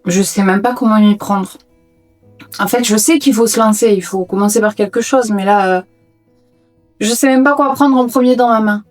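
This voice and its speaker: A woman's voice: mid-range voice, normal speed, faint music behind. A woman whose first language is Portuguese, chatting casually with playful, self-mocking asides while she tidies her room as a book vlogger. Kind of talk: casual chat while tidying. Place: her own hobby room.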